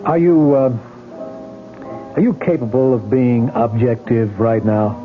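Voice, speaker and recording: An actor playing a skeptical, methodical police lieutenant in a 1970s radio drama, questioning insistently and sometimes thinking aloud. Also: male voice, mid-range voice, close to an everyday voice. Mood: probing, skeptical. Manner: probing, insistent, halting when thinking aloud. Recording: phone-like old radio broadcast, music behind.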